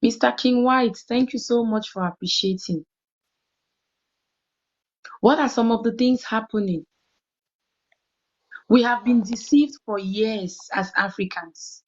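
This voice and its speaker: A woman from Cameroon with a Cameroonian accent, hosting an online talk show and interviewing a caller in a brisk, encouraging way. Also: fairly high pitch, darkish tone. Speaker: host. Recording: muffled lo-fi stream audio.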